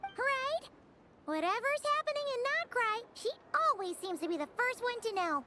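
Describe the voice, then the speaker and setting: Small perky game fairy: extremely high squeaky child voice, lively swings, blunt delivery, noisy track. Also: female voice, normal speed. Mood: matter-of-fact. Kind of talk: video game voice acting.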